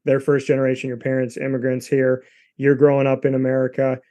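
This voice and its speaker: A man's voice: medium pitch, monotone, fairly quick, mellow. Male host with long rambling questions. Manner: long winding questions with restarts. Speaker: podcast host, interviewer. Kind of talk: interviewer asking questions.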